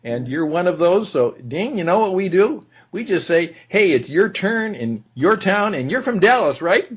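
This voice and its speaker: A man's voice: high voice, sing-song, normal speed, breathy edge. A man hosting an interview show, chatty and self-deprecating as he introduces his guest. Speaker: podcast host. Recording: muffled lo-fi sound.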